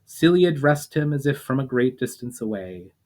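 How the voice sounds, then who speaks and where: A man reading fantasy fiction aloud as a storyteller, in a dramatic audiobook style with measured phrasing that falls away at line ends. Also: medium pitch, animated, darkish tone. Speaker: author reading own fiction aloud, channel host.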